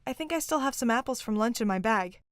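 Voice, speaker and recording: A woman's voice: fairly high pitch, lively intonation, quick pace, slight background noise, close to an everyday voice. A woman acting a protective, agitated young fugitive woman in a fantasy audio drama, insistent and caring.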